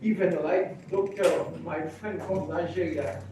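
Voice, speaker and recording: An older man from Haiti, introducing himself in a halting speech with many restarts. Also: on the high side. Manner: halting, repeats and restarts phrases. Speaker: real estate association president. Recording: echoey room, mic far off, background noise.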